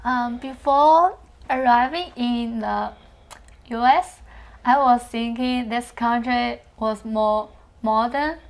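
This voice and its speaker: Slow-speaking woman. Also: a little high. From China. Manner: careful, halting. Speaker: interview guest, adult English student.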